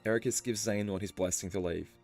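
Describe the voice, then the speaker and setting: A man reading scripted story explainer narration in a measured, storytelling delivery. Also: on the low side, steady tone, vocal fry, a little mellow. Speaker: video narrator. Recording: music behind the voice. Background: background music.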